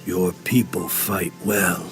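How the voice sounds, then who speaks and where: A man's voice: deep voice, steady tone, takes it slowly, music in the background. An actor playing a solemn, dignified Inca leader in a video game, speaking formally as protector of his people. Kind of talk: dramatic video game voice acting.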